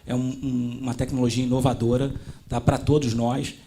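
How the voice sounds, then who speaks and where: Male panelist, mellow, monotone, measured. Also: mid-range voice, a little hiss or hum. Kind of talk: conference panel talk.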